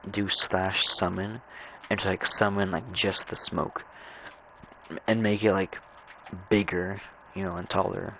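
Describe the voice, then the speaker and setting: A young man chatting casually and rambling over his gameplay, halting and stammering as he repeats words. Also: sits low, flat delivery, gravelly, sharp tone, slight background noise, low-quality recording. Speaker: gamer narrating own gameplay.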